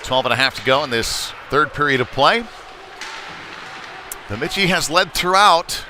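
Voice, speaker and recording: A man's voice: fairly high pitch, animated, normal speed. Man calling live hockey over noisy rink. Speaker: play-by-play commentator. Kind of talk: live youth ice hockey play-by-play commentary. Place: indoor ice rink. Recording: noisy rink ambience, bouncing knocks.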